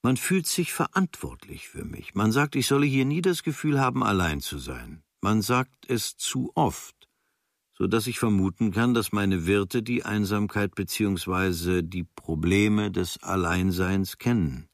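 A man reads a literary travel diary for radio as scripted narration, measured and in short, clipped phrases. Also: lowish voice, dry recording, clean studio sound. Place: dry studio.

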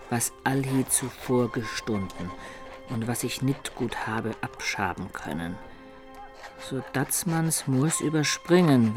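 Radio drama: male actor as roguish self-taught medieval chronicler, mid pitch, slow halting archaic phrasing. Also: darkish tone, lightly acted. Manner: laborious, halting dictation while writing, archaic wording. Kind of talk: radio play acting.

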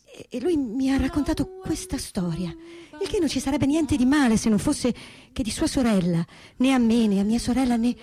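High, mellow woman played by an actress, haltingly recounting. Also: breathy edge, no room sound. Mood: halting, grave. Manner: halting, repeating words, colloquial. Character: woman recounting a painful family secret, candid and searching. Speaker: stage reader. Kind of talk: dramatic reading of a first-person testimony in conversational style.

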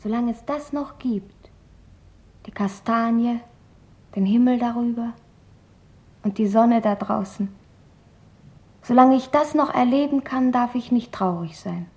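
Wistful girl diarist, high soft voice. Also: unhurried, long pauses. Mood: quietly hopeful. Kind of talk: literary diary reading in a radio feature.

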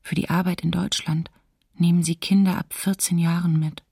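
Husky, monotone, resigned diarist. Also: female voice, on the low side, normal speed, no echo, studio quality. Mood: resigned, sombre. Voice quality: husky, creaky. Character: resigned diarist chronicling life under occupation. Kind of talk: radio docudrama diary reading.

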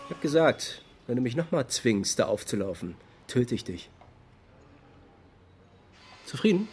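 Indignant young friend, slangy, emphatic, mid pitch, faint ambient noise behind. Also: male voice, big pitch swings, unhurried, a little mellow. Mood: bitter, angry. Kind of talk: naturalistic radio drama, interview testimony.

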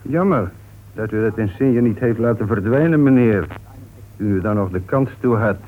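An actor as a formal police inspector, tinny recording. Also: male voice, fairly low pitch, lightly acted. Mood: accusing, triumphant. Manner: formal, accusing, deliberate. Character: methodical, confident male police inspector closing a murder case. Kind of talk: radio detective drama acting. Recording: tinny, noisy old radio recording.

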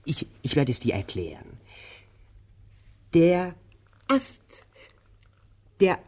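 An actor playing a childlike, moody male tramp in a German radio play, theatrical and halting, moving between hushed words and exclamations. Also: a little high, very animated, heavily acted. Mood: insistent, halting.

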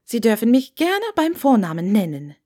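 A woman's voice: fairly high pitch, very animated, normal speed, mellow. Woman voicing a gushing, chatty older village hostess. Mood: gushing, friendly. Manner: gushing, talkative, enthusiastic. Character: chatty, effusive plump grey-haired Scottish innkeeper's wife. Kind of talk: audiobook character dialogue.

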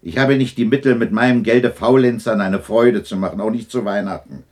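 A man's voice: lowish voice, brisk, a little mellow, lightly acted. A man playing a hard-hearted, miserly old businessman in a radio drama, curt, scornful and dismissive. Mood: cold, dismissive. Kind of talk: 1960s German radio drama acting.

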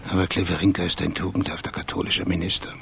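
An actor playing a sleuth in a German radio crime drama, with a gravelly voice and a terse, measured, matter-of-fact manner. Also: male voice, low voice, flat delivery, a bit bright, slight background noise. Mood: calm, grave. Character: low-voiced male sleuth calmly reconstructing a murder.

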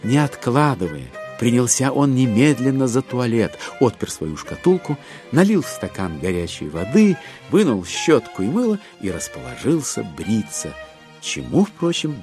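A man's voice: mid-range voice, big pitch swings, unhurried, a little mellow, soft background music. A man performing as a wry, ironic storyteller, reading literary narration in an audio drama. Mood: wry, animated.

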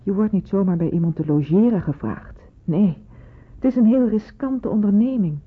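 A woman's voice: medium pitch, normal speed, husky, close to an everyday voice. An actress playing a stern but motherly senior nurse in a Dutch radio play, speaking with persuasive authority. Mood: firm, admonishing. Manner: authoritative, admonishing. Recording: muffled, phone-like old radio recording.

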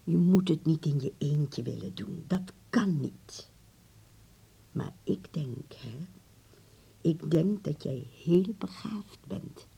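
Husky, slow-spoken old grandmother. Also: low voice, expressive, dark tone, full character voice. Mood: tender, confiding. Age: elderly. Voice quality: husky, breathy, creaky old voice. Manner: slow, deliberate, with repeated words. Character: old grandmother, frail, wise, tender and firm. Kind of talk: radio drama acting.

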